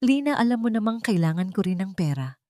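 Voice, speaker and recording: A woman's voice: medium pitch, very animated, fast, dark tone, no echo, studio quality, voice pushed up, natural voice. Woman as a firm, unyielding landlady.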